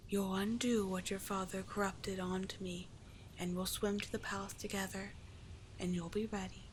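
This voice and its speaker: Woman acting a seductive sea witch, breathy whisper, hypnotic and coaxing, tenderly possessive, ASMR roleplay, clearly noisy ambience. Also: medium pitch, little pitch movement, normal speed, close to an everyday voice. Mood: soothing, possessive.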